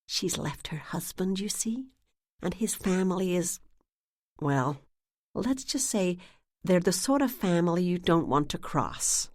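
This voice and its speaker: A woman voicing a woman who puts on a giggly, gossipy act with a New England accent, dropping to a confiding hush at times. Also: mid-range voice, big pitch swings, takes it slowly, dry recording, studio quality, voice pushed up. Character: woman posing as a giggly, chatty lost sister.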